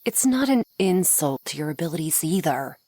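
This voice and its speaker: A woman's voice: low voice, sing-song, moderate pace, dark tone, dry recording. A woman playing a flirty, sardonic female vampire in an ASMR roleplay, taunting the listener playfully up close. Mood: teasing, urgent. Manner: sardonic, playful, taunting, with pet names and sighs.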